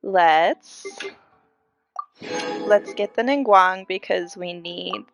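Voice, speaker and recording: A woman's voice: fairly low pitch, very slow. A young woman chatting casually and playfully as she livestreams a game, sounding a little congested. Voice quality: congested, audibly breathy breathing. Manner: playful, giggly, echoes game characters' lines theatrically. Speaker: game streamer. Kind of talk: casual livestream gameplay chat. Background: video game music.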